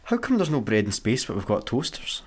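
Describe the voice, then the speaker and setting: A boyish, scruffy Scottish spaceman in a radio sci-fi comedy. His pitch swings widely as he flips between excitement and flippant grumbling. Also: fairly quick, slightly rough. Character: scruffy, childlike, easily excited slacker spaceman.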